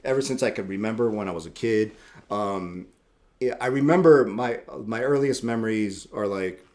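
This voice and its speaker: Middle-aged male guest, low-pitched, relaxed rambling storyteller who circles back on his words. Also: moderate pace. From Puerto Rico. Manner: easygoing, rambling, repeats words, laughs often. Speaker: tattoo shop owner, guest. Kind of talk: casual interview chat.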